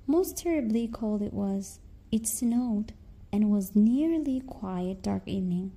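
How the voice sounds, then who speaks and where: A woman's voice: medium pitch, expressive, slow pace, dark tone, breathy edge. A woman reading a scripted fairy tale to children as a storyteller.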